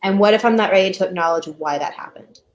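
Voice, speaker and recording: A woman talking as a counselor in a casual discussion, with a thoughtful, measured manner. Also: mid-range voice. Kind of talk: casual podcast-style discussion.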